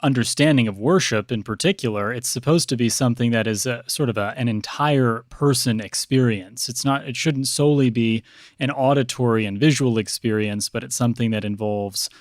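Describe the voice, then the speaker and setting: A man speaking as a priest and interview guest, reflective, with measured, halting, thoughtful phrasing. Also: mid-range voice, no room sound, studio quality.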